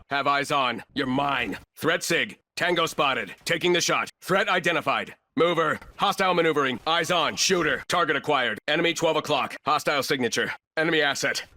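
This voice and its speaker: A sharp, clipped military operator. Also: male voice, on the high side. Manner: clipped, businesslike, commanding. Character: terse, cold, professional male special forces operator. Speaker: video game operator character. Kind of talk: military shooter game callouts.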